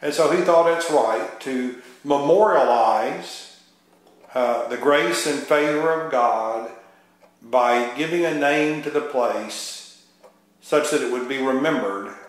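A middle-aged man preaching an extemporaneous verse-by-verse Bible sermon in an earnest, reflective, halting way, repeating phrases as he goes. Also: mid-range voice. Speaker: Baptist preacher. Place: live sermon relayed to a radio station. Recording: very echoey, reverberant room sound.

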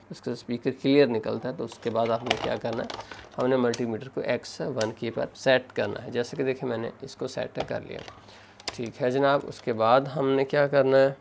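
A man gives a hands-on tutorial in a gravelly, creaky voice. His pitch sits in the middle range. He explains each step in order and often addresses the viewers as friends. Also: a little mellow, slight background noise. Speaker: phone repair instructor.

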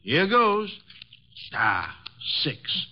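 Excitable folksy hothead, high voice all over the place, old radio play. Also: male voice, very slow, exaggerated voice. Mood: eager. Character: excitable, hot-tempered small-business partner. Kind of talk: 1950s radio drama acting. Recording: muffled old radio broadcast recording.

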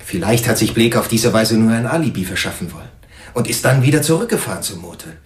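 A man's voice: on the low side, expressive, fast, natural voice. A man acting in a radio drama as a sober, businesslike police sergeant.

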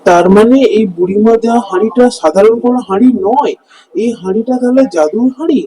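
A man plays a poor, kind-hearted village husband in a cartoon fairy tale. His voice is high, very dark and husky, with an earnest, wondering manner. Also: fast. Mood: amazed.

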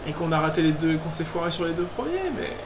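A man casually chatting while playing a football video game, shouting excitedly at goals. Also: a little high, fast. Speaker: gamer co-host. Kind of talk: casual gaming commentary. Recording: roomy, far-off mic, game noise.

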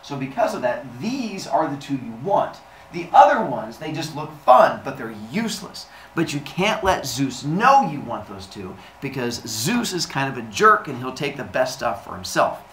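Comic storybook titan played by a man: clever, exasperated schemer, lively conversational instruction, roomy sound. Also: medium pitch, moderate pace, distant mic. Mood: scheming, instructing. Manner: conspiratorial, instructing, impatient. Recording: echoey room with background hiss.

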